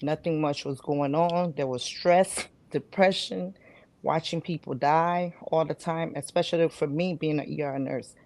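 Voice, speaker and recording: A woman's voice: sits low, fairly even intonation, unhurried, darkish tone, dry recording. A middle-aged woman, giving conversational answers as an interview guest. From Liberia. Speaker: guest, reality show cast member and nurse.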